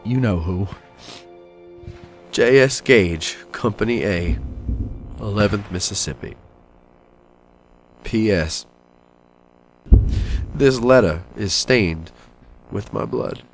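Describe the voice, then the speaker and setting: A man performs a young Confederate soldier near death, reading out his final letter home. The voice is very low and solemn, each phrase slowly measured. Music plays softly underneath. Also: low-quality recording. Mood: solemn, tender.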